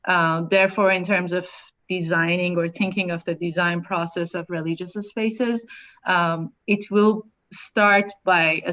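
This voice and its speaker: A woman from Iran speaks as an architect on an online panel, measured and reflective with the odd filler. Her voice sits a little low. The call audio is dull and lo-fi. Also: fairly bright tone.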